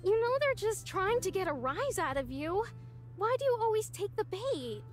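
An actress playing a patient, reassuring park ranger supervisor in a visual novel. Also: female voice, very high-pitched, very animated, normal speed. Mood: exasperated, reassuring. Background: music.

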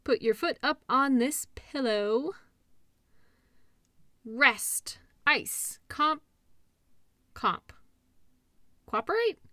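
A woman's voice: sits high, expressive, drawn-out words, pauses often, sharp tone, no echo, studio quality, full character voice. A woman playing a caring, upbeat girlfriend in an intimate roleplay audio, talking in a soothing, playful, encouraging way. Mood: relaxed, playful. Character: caring, upbeat girlfriend comforting an injured partner.

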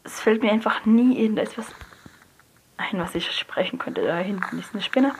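A young person, likely a teen, giving hesitant gameplay commentary, often correcting themselves and dropping to a near whisper. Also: female voice, medium pitch, moderate pace. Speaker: let's player. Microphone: phone screen recorder mic. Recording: noisy lo-fi phone recording.

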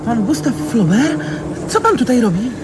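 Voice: mid-range voice, sing-song, moderate pace, background noise, natural voice. A performer playing a polite, earnest, bookish young boy in a radio play.

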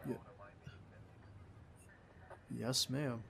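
A man's voice: medium pitch, steady tone, extremely slow, vocal fry, fairly bright tone, slight background noise, heavily acted. A man playing a young male field agent, a doting, stubborn, playful boyfriend, in an ASMR roleplay audio drama. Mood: tender, teasing. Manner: affectionate, teasing, protesting.

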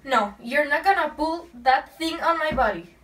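Teen girl playing a shrill, whining guest chef. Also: lively intonation, moderate pace, fairly bright tone. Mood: indignant, bitter. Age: teens. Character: spiteful, whining injured female guest chef. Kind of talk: amateur scripted TV show acting. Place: home bedroom. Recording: distant camera mic with room noise.

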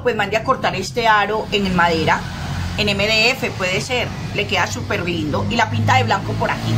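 A middle-aged Colombian woman chatting casually through a craft demonstration, affectionate and repetitive, full of endearments and diminutives. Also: a little high. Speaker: craft tutorial host.

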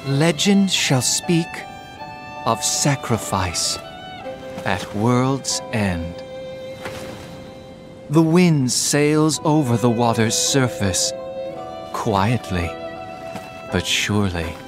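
An actor playing a grandiose, poetry-obsessed former soldier in a video game, speaking in a measured, reciting way. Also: male voice, medium pitch, big pitch swings, music in the background. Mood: grandiose, poetic. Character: theatrical male former soldier, obsessed with poetic prophecy. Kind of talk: English video game dub acting.

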